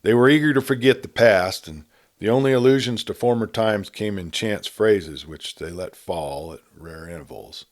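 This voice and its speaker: A man with a fairly low voice narrates an old western tale. His delivery is scripted and dramatic, with measured, expressive storytelling. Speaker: audiobook narrator and host.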